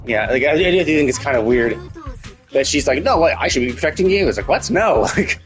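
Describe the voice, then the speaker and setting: A man's voice: fairly high pitch, expressive, brisk, soft background music. A man giving casual, opinionated live game commentary, rambling and repeating himself with some casual swearing. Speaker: live streamer playing a story game.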